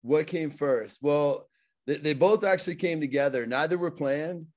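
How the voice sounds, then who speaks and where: A man's voice: on the high side, moderate pace, darkish tone. Earnest man with a Pittsburgh accent. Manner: earnest, repeats words and restarts often. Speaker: guest, motivational speaker. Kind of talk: casual interview talk.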